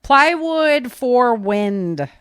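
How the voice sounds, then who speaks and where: A woman's voice: on the high side, big pitch swings, drawn-out words, smooth, no echo, studio quality. A woman hosting a podcast, chatting casually and giving practical tips in an informal, folksy, rambling way. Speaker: podcast host.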